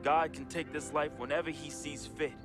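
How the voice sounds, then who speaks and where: An actor playing a troubled, grieving young man reading his farewell letter as a solemn, deliberate, confessional monologue. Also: a little high, fairly even intonation, lightly acted. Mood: wistful. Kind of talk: dramatic first-person monologue. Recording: voice-over with music underneath.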